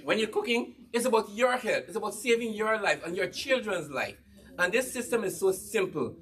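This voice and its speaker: A man from Guyana with a Guyanese accent, giving a lively cookware demonstration talk to an audience, quizzing them and repeating his points. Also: high-pitched, moderate pace, recorded from a distance. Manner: energetic, asks the audience questions and repeats key phrases. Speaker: cookware presenter at a church gathering.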